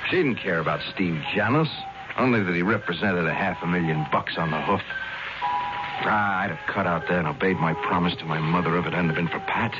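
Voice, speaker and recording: A big, brooding young football player, played by a man, speaking low in a halting, plain way. It is 1970s radio drama on a muffled recording, with loud music behind. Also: close to an everyday voice. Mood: bitter, brooding.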